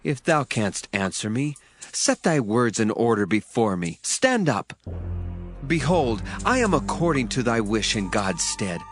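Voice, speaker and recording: A man's voice: mid-range voice, big pitch swings, unhurried. A man voicing Elihu, an indignant young man eager to speak, in an earnest dramatized scripture reading with rising emphasis. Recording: dry voice, faint music underneath.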